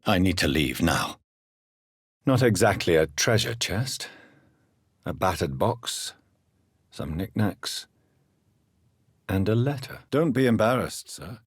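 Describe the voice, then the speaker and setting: Deep, husky British gentleman vampire doctor. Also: very animated, no echo, clean studio sound, exaggerated voice. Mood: composed, reflective. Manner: formal, measured, precise diction. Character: grave, articulate gentleman doctor turned vampire. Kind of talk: dramatic video game voice acting.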